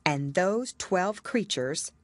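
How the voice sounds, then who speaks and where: A woman voicing a curious, opinionated little girl in a storybook, quick to be indignant. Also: lowish voice, animated, no room sound.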